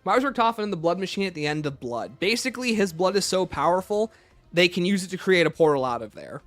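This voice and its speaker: A man with a fairly high voice talks casually as a livestream host. His pitch moves around a lot as he fields questions in an offhand way, with faint music playing behind him. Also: very animated, quick pace, a bit bright. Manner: reads questions aloud, then answers offhand. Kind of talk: casual livestream chat answering viewer questions.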